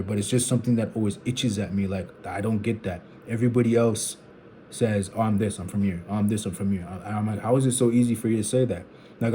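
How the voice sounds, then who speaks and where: A young man muses aloud for his vlog, searching and rambling. His voice sits low and soft-edged, slightly dark in tone. A faint background hum stays under his talk. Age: 20s. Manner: rambling, searching, restarts sentences. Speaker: vlogger. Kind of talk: unfiltered thinking out loud.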